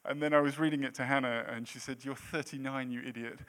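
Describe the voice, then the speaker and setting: A man's voice: mid-range voice, steady tone, fast talker, fairly bright tone, rough recording. A man in his late thirties preaching a sermon in a church, with a self-deprecating, jokey manner between points. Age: 30s. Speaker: pastor.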